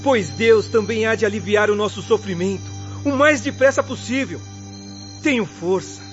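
Man playing a high-voiced, humble, devout farmer. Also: normal speed, soft background music, natural voice. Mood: devout, hopeful. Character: humble, devout, good-humored poor male farmer. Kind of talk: Brazilian radio drama acting. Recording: dull lo-fi audio.

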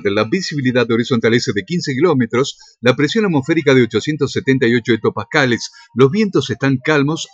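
A man's voice: medium pitch, slightly rough. An Argentine man reading a scripted local radio news bulletin with time and weather. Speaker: radio newsreader. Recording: dull lo-fi radio sound.

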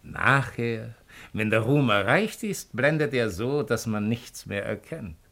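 An actor playing a shrewd, cynical imperial agent of ancient Rome in a 1950s German radio play, with a mocking, deliberate, rhetorical delivery. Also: male voice, medium pitch, animated, vocal fry, fairly bright tone. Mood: knowing, warning.

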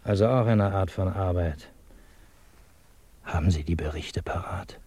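An actor in a German radio drama playing a cynical intelligence contact, clipped, wry and matter-of-fact. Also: male voice, deep voice, measured pace, mellow, breathy edge, no echo. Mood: wry, teasing. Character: dry, cynical male intelligence contact, coolly businesslike.

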